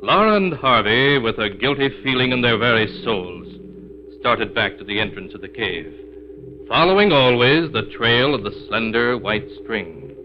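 An actor playing an ominous storyteller, narrating a 1940s radio terror tale solemnly and dramatically. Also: male voice, medium pitch, animated, moderate pace, noisy background, natural voice. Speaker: radio horror series narrator. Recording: muffled 1940s radio recording with music.

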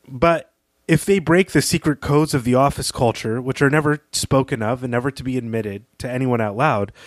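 A man's voice: medium pitch, no echo. A man co-hosting a podcast, talking through literature in an articulate, analytical and emphatic conversational way. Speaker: podcast co-host.

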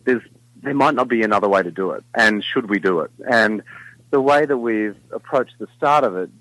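A middle-aged man with a deep baritone talks in an easygoing, meandering way, often restarting his sentences. His voice is fairly low and comes across close and dry, with no room sound. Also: moderate pace, no room sound, poor audio. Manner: relaxed, rambling with many fillers and restarts. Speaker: singer in a vocal group, guest. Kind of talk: casual interview chat.

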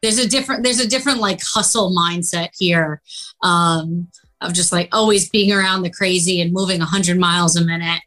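A woman's voice: on the low side, fairly even intonation, moderate pace, a bit bright, soft background music. Upbeat young New woman. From New York City. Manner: upbeat, giggly, says "like" often. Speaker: real estate agent guest. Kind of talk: casual interview chat.

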